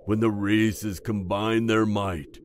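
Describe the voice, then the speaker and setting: Male actor as stately dwarf monarch, good-natured, low voice, slow measured speech, fantasy roleplay. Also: fairly even intonation, a little hiss or hum. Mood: genial, explaining. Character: jovial, stately dwarf king, battle-seasoned. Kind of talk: fantasy audio roleplay acting.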